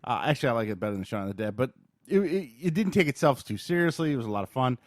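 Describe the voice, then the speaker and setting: A man with a medium-pitched voice talks as a podcast co-host. He reviews in an informal, conversational way, working out his thoughts aloud. The sound is dry, with no room echo. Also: lively intonation, very fast. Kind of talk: casual chat, movie review.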